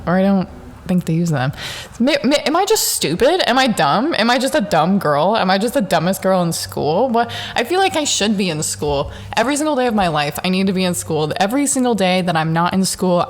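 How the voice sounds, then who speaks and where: Rambling young woman talking to herself. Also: fairly low pitch. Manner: rambling, self-mocking, jumps between thoughts. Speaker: podcast host. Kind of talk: rambling solo self-reflection monologue. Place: apartment at home in the dark.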